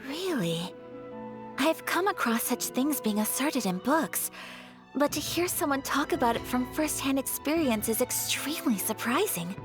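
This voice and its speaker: A woman voicing a polite, gentle and shy young noblewoman in an anime-style video game, formal and hesitant in manner. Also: high voice, expressive, unhurried, over music. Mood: thoughtful.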